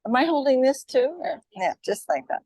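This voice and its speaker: A soft-voiced woman guest speaker on a handheld mic, talking casually with fillers and restarts. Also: high voice, very animated, normal speed, breathy edge, no echo, clean studio sound.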